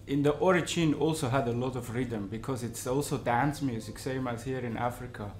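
A man from Switzerland, a musician talking haltingly in an interview and searching for his words. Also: mid-range voice. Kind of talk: casual interview. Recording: echoey room.

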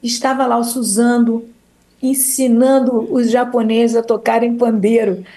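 A Brazilian woman singer talks through an interview in a mellow, soft-edged voice. She rambles warmly, restarts her sentences and keeps checking in with her listener. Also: fairly high pitch, flat delivery, unhurried. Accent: Brazilian Portuguese. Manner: warm, rambling, with restarts and frequent "né?". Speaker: singer and choir leader. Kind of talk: casual interview talk.

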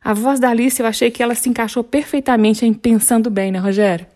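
A woman hosting a radio program, introducing songs and guests in a measured way. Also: on the high side, steady tone, warm tone.

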